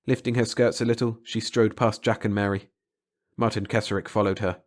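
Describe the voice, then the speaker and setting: A man's voice: on the low side, steady tone, a little fast. A man reading a story aloud as an audiobook narrator, in a dramatic scripted style.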